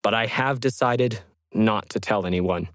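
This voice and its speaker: A man's voice: on the low side, measured pace, dry recording. Man reading as a reflective, solitary radio hobbyist, dry sound. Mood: doubtful, resigned. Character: solitary, methodical 1950s ham radio hobbyist writing his journal. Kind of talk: scripted first-person journal narration.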